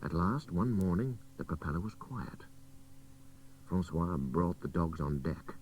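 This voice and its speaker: A deep-voiced man narrates a literary story with a wide, animated range of pitch. His delivery is measured and dramatic. It comes from an old taped radio broadcast, slightly distant with faint hiss. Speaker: story reader.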